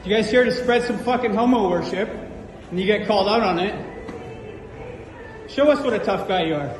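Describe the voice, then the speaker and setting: High-voiced man heckling, taunting. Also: expressive, moderate pace, faint music behind, low-quality recording. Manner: taunting, repetitive, crude. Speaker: man filmed in a viral street video. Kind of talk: heckling strangers on camera.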